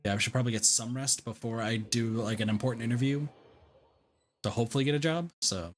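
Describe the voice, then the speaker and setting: A streamer with a middle-pitched voice comments on a game. The talk is informal and casual, often circling back over the same phrases. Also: quick pace. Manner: informal, repeats own phrases.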